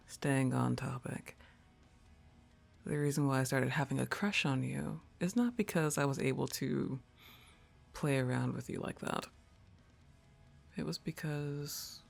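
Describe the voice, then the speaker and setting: Slow, gravelly laid-back goth girlfriend, acted by a woman. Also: a bass voice, heavily acted. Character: laid-back, teasing goth young woman, affectionate roommate and crush. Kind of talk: intimate roleplay ASMR.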